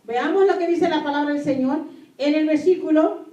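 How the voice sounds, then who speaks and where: A woman pastor delivers an emphatic sermon in a very high voice. She repeats key phrases and questions her listeners as a native Spanish speaker. The sound is echoey, picked up some distance from the microphone. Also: normal speed, warm tone, dull audio. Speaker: pastor preaching to a congregation. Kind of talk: sermon with scripture reading.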